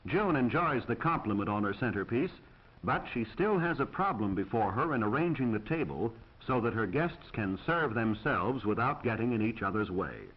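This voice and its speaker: Formal american guy narrating an old 1940s how-to film, lively pitch, slightly husky, muffled soundtrack. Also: normal speed. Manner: formal, precise, instructive.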